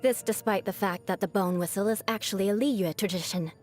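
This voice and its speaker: A woman's voice: medium pitch, a little fast, a little mellow, soft background music, lightly acted. A woman voicing a proud young aristocratic knight in a video game, with a haughty, formal, teasing manner and a vengeful streak that hides a caring side. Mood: proud, reflective. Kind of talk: scripted video game character voice lines.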